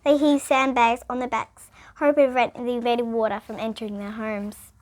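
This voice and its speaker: A female reader, reading a children's picture book aloud in an expressive, emphatic way. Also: a little high, brisk.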